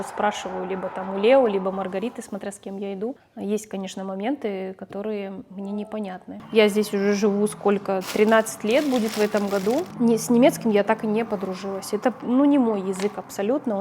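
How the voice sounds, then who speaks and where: A woman's voice: mid-range voice, noisy background. A middle-aged woman from a Russian-speaking background, a mother and family vlogger, talking casually to the camera in a chatty, conversational way and sometimes correcting herself mid-sentence.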